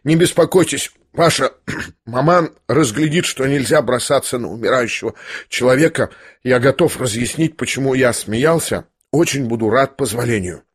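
A man reading an audiobook, voicing a young man who is dying, speaking quietly and hoarsely in a weary, ironic calm. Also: medium pitch, dry recording, clean studio sound, lightly acted. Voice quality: hoarse, near whisper. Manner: slow, weary, faintly mocking calm.

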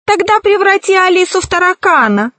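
A woman's voice: very high voice, slow, fairly bright tone, no echo, pitched above the performer's own voice, full character voice. A woman voicing a jolly, mocking, cruel male villain in a children's storybook. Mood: gleefully cruel. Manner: gleeful, mocking.

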